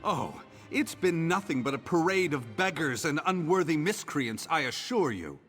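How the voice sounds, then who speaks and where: An actor playing a smarmy, flirtatious male merchant in a video game, with a husky, oily delivery. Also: fairly high pitch, very animated, normal speed, fairly bright tone, long reverb tail, faint music behind. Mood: flattering. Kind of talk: video game character dialogue.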